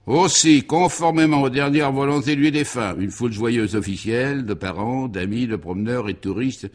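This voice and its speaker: Man, low voice, radio serial narrator, mock-solemn, grandiloquent, emphatic comic storytelling. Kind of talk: scripted dramatic narration of an absurd comic story.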